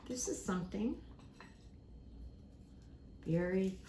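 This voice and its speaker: A woman giving a simple lesson to young children, speaking deliberately, repeating key words and acting out examples. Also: medium pitch. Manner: animated, acts out examples with props. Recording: echoey room with faint background noise.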